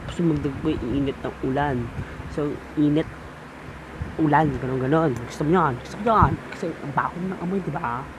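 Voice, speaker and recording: A young Filipino man chatting casually in a storytime vlog, gossipy and dramatic in a campy way. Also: a little fast. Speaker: vlogger. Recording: noisy homemade recording with loud rumble.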